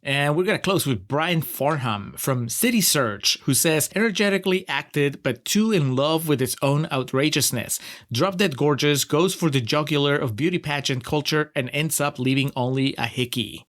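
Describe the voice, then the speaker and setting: A man's voice: fairly high pitch, lively intonation, normal speed, a bit bright. Laughing man with a Peruvian accent. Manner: wry, laughs often, sarcastic asides. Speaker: podcast co-host. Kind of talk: casual film review chat.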